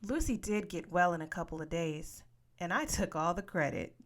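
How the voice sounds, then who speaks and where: A woman reading a children's novel aloud as an expressive audiobook narrator. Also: fairly low pitch, moderate pace. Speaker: audiobook reader.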